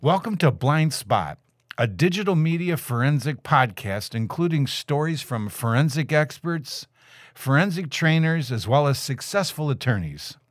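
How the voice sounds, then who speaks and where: A man hosting a podcast, introducing his guest in a measured way and stressing key words. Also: on the high side, sing-song, dry recording, clean studio sound. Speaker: podcast host. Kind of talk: interview introduction.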